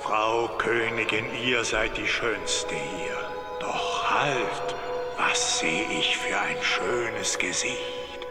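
Slow, cavernous magic mirror spirit. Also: male voice, on the low side. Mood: solemn, ominous. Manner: solemn, declamatory verse. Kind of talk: dubbed animated film acting. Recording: cavernous reverb under loud film music.

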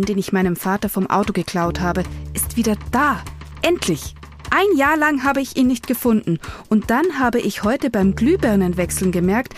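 A moody teenage goth girl, played by a woman confides in her diary with defiant, self-serious earnestness. It is a radio play monologue at an ordinary female pitch, with music playing behind her. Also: lightly acted.

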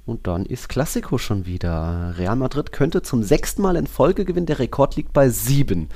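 A man's voice: medium pitch, big pitch swings, normal speed, warm tone. A man co-hosting a football podcast in casual chat, with loose, colloquial, fragmentary phrasing. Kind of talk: casual two-person sports podcast chat.

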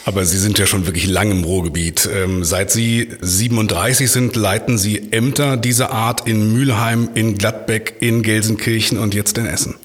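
A man hosting an interview podcast, presenting lively and asking playful, teasing questions. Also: fairly low pitch, moderate pace, hardly any pauses, breathy edge, no room sound. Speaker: podcast host.

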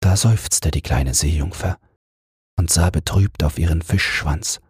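A man narrating an audiobook fairy tale for bedtime in a soft, breathy voice, soothing and measured. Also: extremely low pitch, dry recording, studio quality. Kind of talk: calm bedtime story narration.

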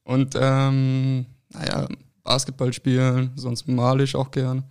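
Hesitant young man with gravelly voice. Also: medium pitch, completely flat delivery, slow, darkish tone. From France, raised in Berlin. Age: young adult. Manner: hesitant, short answers with many fillers. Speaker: guest, football player. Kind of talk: casual interview answers.

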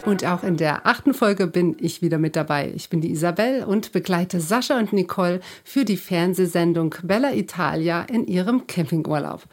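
A woman introducing herself as the podcast's production helper, speaking clearly and in a friendly tone. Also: on the low side, normal speed, hardly any pauses, darkish tone, soft background music. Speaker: TV production assistant. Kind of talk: scripted introduction.

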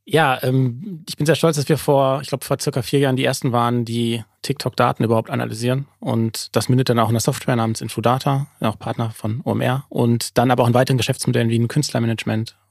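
A man's voice: mid-range voice, fairly even intonation, quick pace, creaky, hardly any pauses, dry recording, studio quality. A man giving conversational answers as a guest in a podcast interview. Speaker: agency founder, podcast guest.

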